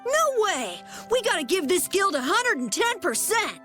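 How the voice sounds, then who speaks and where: Eager, high-pitched young boy. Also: sing-song, unhurried, a bit bright, faint music behind, full character voice. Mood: eager, proud. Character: eager, earnest young boy guild member. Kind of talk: video game voice acting.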